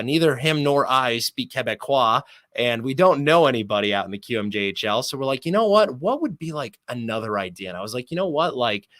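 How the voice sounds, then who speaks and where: A man's voice: medium pitch, fairly bright tone, no room sound. A man who works as a sports announcer, chatting casually and at length as an interview guest, telling anecdotes in a fluent, talkative way.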